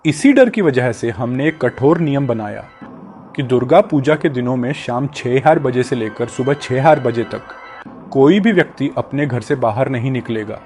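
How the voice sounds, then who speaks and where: A man voicing a grave village landlord father in a horror cartoon, telling things in a solemn, deliberate way. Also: mid-range voice, animated, a little mellow, faint music behind. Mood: grave, ominous. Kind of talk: Hindi animated horror story dialogue.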